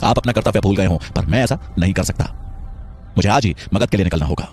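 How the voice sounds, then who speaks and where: A man's voice: deep voice, animated, fast, darkish tone, faint music behind. A man playing a proud, principled scholar and teacher, speaking in an earnest, formal, declamatory way. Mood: resolute.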